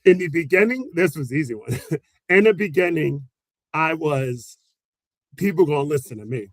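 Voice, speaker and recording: A man, a special education teacher, answering interview questions in an animated storytelling way, laughing often and acting out quotes. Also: a little high, normal speed, dry recording.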